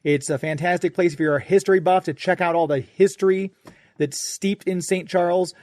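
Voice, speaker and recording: An American man hosts a radio show in a chatty, informal style. His voice sits a little high, and the sound is dry and close, with no room to it. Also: steady tone, brisk, darkish tone, no room sound. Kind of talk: conversational ad read and interview.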